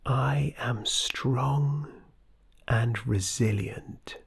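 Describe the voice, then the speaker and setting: A meditation guide reading a scripted guided practice in a soft, soothing, breathy, husky voice that often nears a whisper. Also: mid-range voice, extremely slow.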